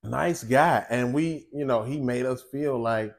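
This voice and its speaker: A man in his forties hosting a casual home cooking show from his kitchen, talking straight to viewers in an animated, chatty way. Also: medium pitch, measured pace, gravelly. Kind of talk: casual cooking chat and monologue.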